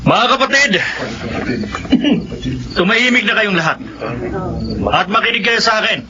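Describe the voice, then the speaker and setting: An imperious cult preacher and faith healer in a horror radio play. His voice sits high for a man, solemn and commanding, in muffled audio with music behind. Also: expressive, unhurried, a crowd in the background. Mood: fervent, commanding. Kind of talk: horror radio drama acting.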